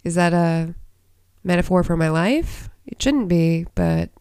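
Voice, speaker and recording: A woman's voice: on the low side, lively intonation, unhurried, a little mellow, clean tone, no room sound, clean studio sound. A woman hosting a podcast in a casual, chatty, self-deprecating way, her voice stuffy and congested. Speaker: podcast host. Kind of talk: casual chat.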